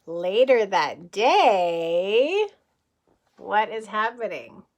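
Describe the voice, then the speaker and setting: A woman reading a picture book aloud as a kindergarten teacher, gently and expressively, with questions to the listeners. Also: medium pitch, sing-song, very slow.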